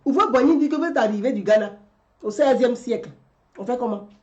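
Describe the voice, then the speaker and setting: A woman's voice: fairly high pitch, fairly quick, dull audio, a little hiss or hum. A woman, delivering a rhetorical political commentary and repeating her questions. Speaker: commentary vlogger.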